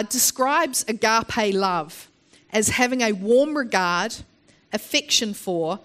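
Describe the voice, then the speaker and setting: A woman preaching a sermon in the open air, sniffly from hay fever, earnest and explanatory with frequent pauses and restarts. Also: mid-range voice, a bit bright.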